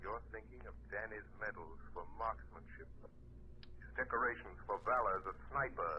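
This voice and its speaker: An actor playing a menacing male mind-reading avenger in a 1930s radio drama, with a low, sinister, taunting voice. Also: moderate pace, close to an everyday voice. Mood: probing. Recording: muffled old radio broadcast, faint hiss.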